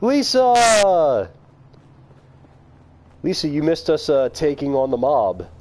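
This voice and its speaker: A man's voice: fairly high pitch, huge pitch swings, takes it slowly, a little mellow. Chatty man streaming a game. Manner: chatty, talks to chat viewers by name, laughs often. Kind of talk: casual live-stream commentary.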